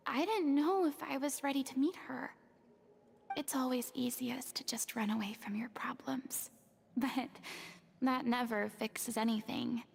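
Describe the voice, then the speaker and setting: A woman playing a remorseful, fragile young former false god in a video game, speaking earnestly with breathy hesitation. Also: sits high, full character voice. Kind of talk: dramatic video game dialogue. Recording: music playing behind the voice. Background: game music.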